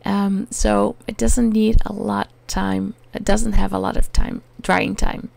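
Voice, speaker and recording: A Dutch woman with a Dutch accent, talking through a crafting tutorial in a hesitant way, often pausing with "um" while she searches for words. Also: mid-range voice, flat delivery, creaky, darkish tone, dry recording. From Netherlands. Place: home attic.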